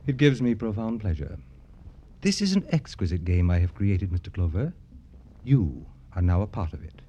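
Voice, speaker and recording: An actor in an old-time radio drama playing an arrogant, jaded, wealthy satirist who talks in florid, affected, theatrical phrases. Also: male voice, sits low, big pitch swings, normal speed, warm tone, dull audio, a little hiss or hum. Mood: gloating, taunting.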